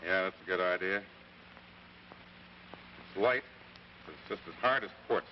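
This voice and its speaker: An actor playing a sensible, kindly, skeptical biologist with an American accent in a 1950s radio drama. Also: male voice, deep voice, far from the mic. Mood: matter-of-fact. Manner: brisk, matter-of-fact. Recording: dull old radio recording, faint noise.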